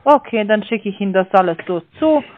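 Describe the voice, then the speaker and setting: A woman's voice: mid-range voice, animated, brisk, no room sound. A woman calling as a sales agent over the phone, talking hesitantly with many filler sounds and non-native grammar. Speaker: sales caller. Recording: dry tinny phone line.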